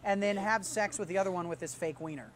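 Fast-talking young man, street interviewer. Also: high voice, fairly bright tone, a little hiss or hum. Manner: fast, brash, teasing. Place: city sidewalk at night, outdoors. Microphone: handheld mic.

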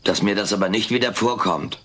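An actor playing a wisecracking, self-centred furry alien in a sitcom audio play, sardonic and cheeky. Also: male voice, fairly low pitch, fairly quick, close to an everyday voice. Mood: sarcastic. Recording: noisy old cassette audio.

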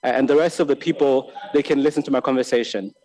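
A man in his thirties from Lesotho giving a filmmaking master class, speaking reflectively and haltingly, repeating words and restarting often. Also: medium pitch, steady tone, darkish tone, rough recording. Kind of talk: reflective spoken lecture. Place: large bright hall with tall windows. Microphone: desk microphone on a stand.

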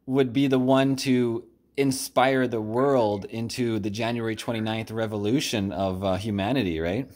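A man's voice: mid-range voice, steady tone, normal speed, few pauses, darkish tone. A man in his forties, a vlogger, chatting casually and plain-spokenly indoors at home into a phone held close.

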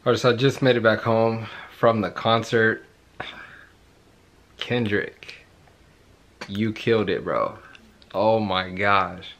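A young man in his twenties, hoarse after yelling at a concert, talking excitedly and rambling in a casual vlog, filmed on a phone held at arm's length. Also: fairly low pitch, slow, pauses often. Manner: rambling, excited, repeats himself. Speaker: vlogger. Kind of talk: casual vlog talk to camera. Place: bedroom at home, then walking on a suburban street outdoors.

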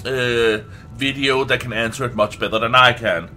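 A man who is not a native English speaker gives casual gameplay commentary, haltingly searching for words and swearing now and then. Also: mid-range voice, steady tone, sharp tone, faint music behind. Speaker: let's play host.

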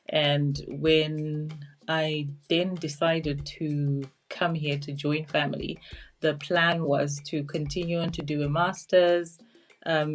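A woman with a Zimbabwean accent tells her own story informally to camera. Her pitch stays level, with little rise or fall, and she speaks in a reflective, candid way. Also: a little hiss or hum. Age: 40s. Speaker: interview guest, social worker. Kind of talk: informal personal account to camera. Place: small curtained room at home, indoors.

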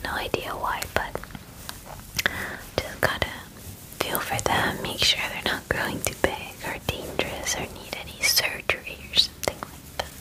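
A woman in her twenties whispering softly and soothingly in an ASMR personal-attention roleplay, murmuring reassurances close to the mic. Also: moderate pace, rough recording. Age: 20s. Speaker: ASMR creator playing a spa groomer. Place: small dim room indoors.

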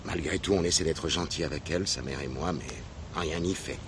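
An actor playing an older, distressed, apologetic father in a French radio drama, speaking hesitantly and helplessly. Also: a bass voice. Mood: plaintive, distressed. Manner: hesitant, plaintive, halting. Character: distressed retired father, apologetic, helpless with his wayward daughter. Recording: noisy old radio drama recording.

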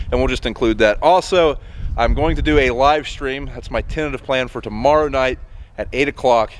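A man's voice: mid-range voice, a little hiss or hum. An American man in his thirties, a farmer explaining things to viewers in a casual, unscripted, folksy way. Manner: plain-spoken, unscripted, folksy. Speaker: farmer and video host. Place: inside a large fabric hoop barn. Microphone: handheld camera at arm's length.

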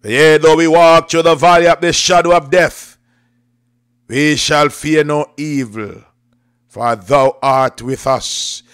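High-pitched man praying fervently. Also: steady tone, slow, fairly bright tone, clean tone, dry recording, studio quality. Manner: declamatory, repetitive rhythmic phrasing. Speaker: preacher leading prayer. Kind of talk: fervent Pentecostal prayer with scripture recitation and speaking in tongues.

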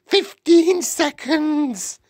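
A narrator voicing a gleeful, evil female witch in a storybook, with a theatrical, drawn-out, gloating delivery. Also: high-pitched, a little mellow, no room sound, studio quality, pitched above the performer's own voice. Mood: shrill countdown.

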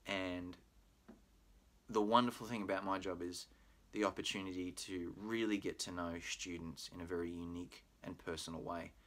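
A man's voice: sits low, fairly even intonation, moderate pace, fairly bright tone. A middle-aged man with an Australian accent, a university program manager giving a recorded admissions talk in a friendly, explanatory way with frequent fillers, speaking to a webcam in a room at home. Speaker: pre-law program manager. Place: home room with bookshelf, indoors.

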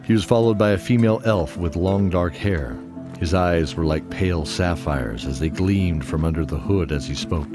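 A man's voice: deep voice, moderate pace. A man narrating a fantasy audio drama as scripted storytelling. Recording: music under the voice. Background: music.

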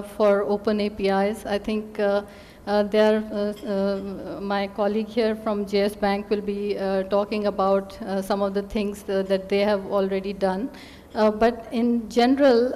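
A middle-aged woman answers on a conference panel at a steady, mid-range pitch, pausing often with fillers. Her voice is picked up by a table stand mic in a large hotel hall, and a long reverb trails after her words. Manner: measured, frequent filler pauses.

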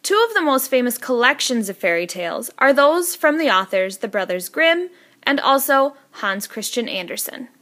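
A woman teacher reading a scripted educational narration in a clearly enunciated, instructional manner. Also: a little high, very animated, moderate pace, fairly bright tone.

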